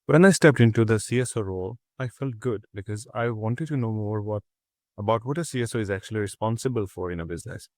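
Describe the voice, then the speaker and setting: A young man from Pakistan, a student, reading a prepared reflection in a steady, earnest way with small restarts. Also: on the low side, fast talker, no room sound, clean studio sound.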